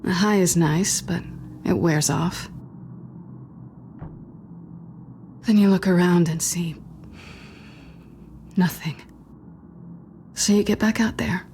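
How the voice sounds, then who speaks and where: A guarded young woman thief in a story-driven video game. Her voice sits on the low side, intimate and sardonic, with a teasing dry wit. There is clear noise and ambience behind her. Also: takes it slowly, pauses often, warm tone. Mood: weary, confessional. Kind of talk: naturalistic video game acting.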